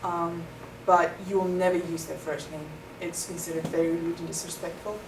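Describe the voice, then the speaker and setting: A woman's voice: on the low side, level tone, moderate pace. Young Afrikaans-speaking woman from South Africa, explanatory interview answers, distant mic, noisy. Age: young adult. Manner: thoughtful, explanatory, with many ums. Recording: echoey room, far from the mic, noisy.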